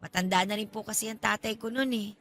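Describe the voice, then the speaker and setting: A woman's voice: medium pitch, steady tone, fast talker, fairly bright tone, lightly acted. An actress playing a polite, guarded young female college student in a radio drama, speaking with hesitant reserve. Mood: explaining. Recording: music under the voice.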